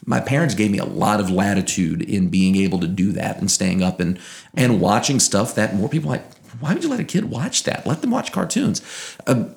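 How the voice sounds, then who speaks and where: Low, creaky American man, crisply spoken. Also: sing-song, fairly quick, a little mellow. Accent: American, faint Southern twang. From Louisiana, United States. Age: 30s. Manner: precise, broadcaster-like enunciation. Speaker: interview guest, sports broadcaster. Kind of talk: conversational storytelling.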